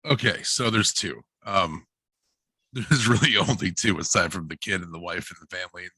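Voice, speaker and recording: A man's voice: low voice, moderate pace, sharp tone, breathy edge, no room sound. A man in a casual chat, speaking as a guest with a joking, exaggerating manner. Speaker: guest author and hairdresser.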